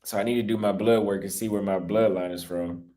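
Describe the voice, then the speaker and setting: A young American man with a slightly low voice, talking off the cuff on a livestream about his travels. He rambles, restarts his sentences and gets defensive, and his voice sounds a little cracked and worn. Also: fairly even intonation, brisk, mellow. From United States. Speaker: travel YouTuber live-streaming. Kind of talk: casual livestream talk reading super chats.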